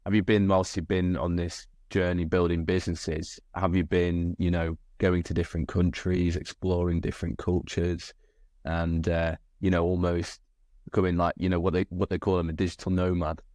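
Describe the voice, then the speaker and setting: A man's voice: low voice, level tone, normal speed, a little mellow, dry recording, clean studio sound. A young man hosting an interview over a video call, asking long rambling questions full of "you know" and restarts. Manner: rambling questions with many fillers. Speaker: podcast host. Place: indoor room.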